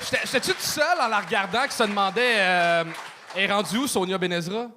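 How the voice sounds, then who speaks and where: A man's voice: sits high, quick pace. A man with a Québécois accent judging and emceeing a comedy show, teasing contestants bluntly. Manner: blunt, mocking. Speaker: judge and emcee. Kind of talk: teasing panel banter. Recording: live show with loud crowd noise.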